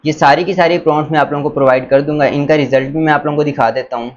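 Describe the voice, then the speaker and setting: A man's voice: on the high side, fairly even intonation. Lo-fi male tutorial presenter. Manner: instructional, enthusiastic, mixes in English words. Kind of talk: casual step-by-step screen tutorial. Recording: dull lo-fi sound, faint background noise.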